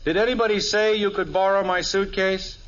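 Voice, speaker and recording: A man's voice: high-pitched, fairly even intonation, brisk, fairly bright tone. An actor playing an exasperated, authoritative father in a 1950s radio sitcom. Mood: irritated. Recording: muffled, noisy 1950s radio recording.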